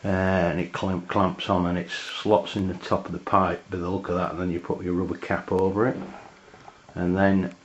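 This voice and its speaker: A man with an English accent and a very low voice talks through a piece of kit as a casual reviewer. His delivery is flat, halting and matter-of-fact. The sound is dull and lo-fi. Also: a little hiss or hum. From England. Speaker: amateur radio reviewer. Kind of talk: casual hands-on product review.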